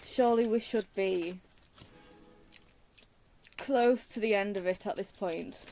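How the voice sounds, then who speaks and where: A woman's voice: mid-range voice, takes it slowly, dark tone, a little hiss or hum. A woman chatting casually as she plays a game, talking back to the characters as if she were in it. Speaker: gaming streamer. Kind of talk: casual let's play commentary.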